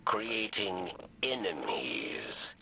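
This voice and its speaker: An actor playing a grave, world-weary alien warrior, narrating solemnly and haltingly in a video game with a gravelly voice. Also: male voice, deep voice, sing-song, noisy background, heavily acted. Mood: solemn, mournful.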